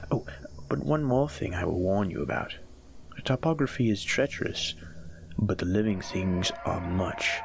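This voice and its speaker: A sadistic male monster, voiced by a man, taunts his prey in an audio roleplay. His voice is gravelly and low, dropping to breathy whispers as he savors every word. A faint hiss sits under the recording. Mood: menacing. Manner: teasing, menacing, slow and savoring.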